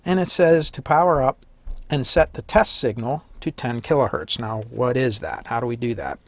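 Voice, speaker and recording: A man with a slightly hoarse voice giving a casual hands-on electronics tutorial, thinking aloud and correcting himself as he works. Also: mid-range voice, lively intonation, normal speed, no echo. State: slightly hoarse, losing his voice. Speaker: electronics hobbyist demonstrating a kit.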